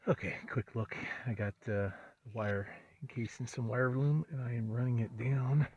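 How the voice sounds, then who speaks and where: A man's voice: mid-range voice, steady tone, normal speed, a little mellow. A man giving a casual hands-on installation walkthrough, muttering through it unscripted in short phrases, in a dull, muffled recording. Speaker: host of a truck build vlog. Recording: dull muffled sound with background noise.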